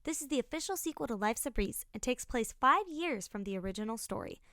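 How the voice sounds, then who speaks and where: A woman's voice: fairly high pitch, lively intonation, fairly quick, fairly bright tone, no room sound, clean studio sound. A woman reading a scripted announcement as an announcer.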